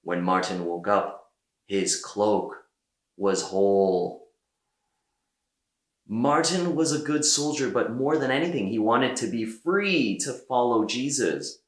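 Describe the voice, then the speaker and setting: A man reading a children's story aloud as an expressive storyteller, with dramatic pauses. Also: mid-range voice, reverberant. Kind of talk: animated children's read-aloud narration.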